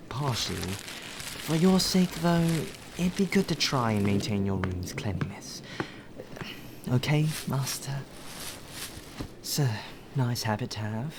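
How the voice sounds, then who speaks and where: A man acts out a polite, fussy butler in an intimate ASMR audio drama. His delivery is slow, measured and deferential, with careful formal phrasing at an ordinary male pitch. The home recording carries clear ambient noise. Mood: gently chiding, refined. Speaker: voice actor in audio roleplay. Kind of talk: soft ASMR roleplay acting.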